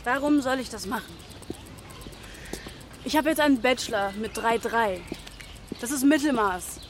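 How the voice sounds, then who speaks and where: A woman acting as a frustrated graduate in her twenties speaks in a high voice. She is disillusioned and argumentative, in naturalistic radio drama dialogue recorded with clear background noise. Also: measured pace, natural voice. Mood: downcast. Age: 20s. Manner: argumentative, questioning. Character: frustrated, disillusioned young pharmacy graduate working in a bar.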